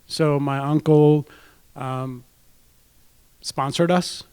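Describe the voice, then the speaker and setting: A man's voice: on the high side, fairly even intonation, drawn-out words, a little mellow, clean tone. A man from India, giving a casual talk to a club audience as a guest speaker and dropping in frequent "right?" asides.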